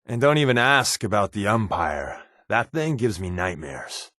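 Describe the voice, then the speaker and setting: An actor playing a sardonic, joking spy companion in a wasteland video game, with a dry, deadpan delivery. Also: male voice, lowish voice, unhurried, no echo, heavily acted.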